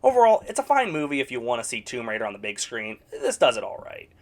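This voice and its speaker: Wry man with a lively, swinging voice. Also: medium pitch, fast talker. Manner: wry and sarcastic, with mock-exasperated outbursts. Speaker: video game movie reviewer. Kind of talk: scripted casual review voice-over.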